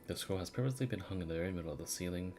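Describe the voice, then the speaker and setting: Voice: deep voice, rapid-fire, a little mellow. A game streamer giving laid-back, playful playthrough commentary and reading on-screen text aloud in a casual way. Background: game music.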